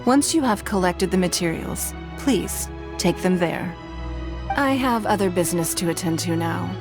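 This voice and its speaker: A woman playing a poised, wealthy female ruler who speaks in a measured, formal, authoritative way. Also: fairly low pitch, expressive. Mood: composed. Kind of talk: game voice acting. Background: game music.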